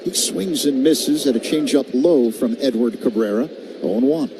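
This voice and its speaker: An American man delivers live radio play-by-play of a baseball game in a mid-range voice. Outdoor ballpark noise is clearly audible around him as he follows each pitch. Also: normal speed. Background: outdoor stadium ambience.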